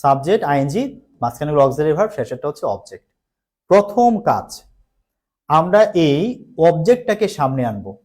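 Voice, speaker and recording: A man's voice: a little high, very animated, fairly quick, darkish tone. A man with a Bangladeshi accent teaching live online, explaining step by step with repetition and check questions, mixing in English terms. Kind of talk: live online grammar lesson.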